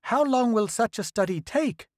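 A man's voice: extremely high pitch, animated, normal speed, a little mellow, no room sound, clean studio sound, pitched above the performer's own voice. A man voicing an anxious male political leader who presses for answers in a read-aloud story. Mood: inquisitive.

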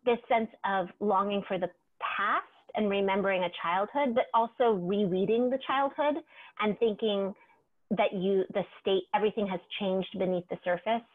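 Woman in her 40s chatting on a video call, lively, tinny narrow sound. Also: mid-range voice, moderate pace. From California. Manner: animated, gestures with hands, reflective. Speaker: poet, guest. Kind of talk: casual conversation. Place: home room with bookshelves. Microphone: clip-on mic over video call. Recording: thin phone-like video call audio.

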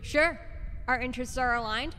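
A woman's voice: high-pitched, moderate pace, pushed and bright, clean tone. A woman playing a haughty, sly schemer in an audio drama, mocking and imperious. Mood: haughty, dismissive. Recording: long reverb, faint ambient noise.

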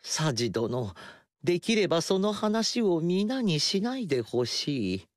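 A man's voice: a little high, sing-song, slow pace, dry recording, clean studio sound. A man voicing a young noble warrior in a video game cutscene, speaking politely and modestly. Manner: polite, self-deprecating.

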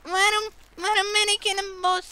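A woman's voice: flat delivery, moderate pace, bright, forceful tone, a little hiss or hum. A woman voicing a timid, scared little child who whimpers, stammers and pleads while gaming, her voice strained and nearly gone. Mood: whiny, scared. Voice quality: squeaky, babyish, stammering. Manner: whimpering, pleading, repeating words. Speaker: guest gamer. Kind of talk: comedic let's-play commentary in character.

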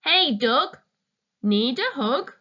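A woman reading a children's picture book aloud expressively and deliberately, as if addressing young listeners. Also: high-pitched, big pitch swings, sharp tone, dull audio. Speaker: storytime reader, teacher.

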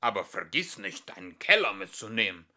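A man's voice: fairly low pitch, sing-song, moderate pace, pushed and bright, heavily acted. A man voicing a grumpy, mocking male house in a children's story, grumbling bluntly.

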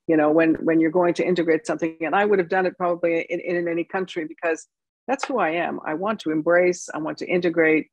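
An older woman from the United States answering documentary interview questions over a video call in a measured, emphatic way. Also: sits low, dry recording.

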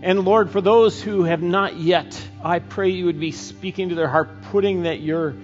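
A middle-aged man preaching a sermon and leading a closing prayer, slow and earnest with frequent pauses, on a headset mic. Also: sits high, steady tone, music in the background. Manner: slow, earnest, pausing often. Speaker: pastor. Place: church stage, indoors. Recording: dull church recording with long reverb.

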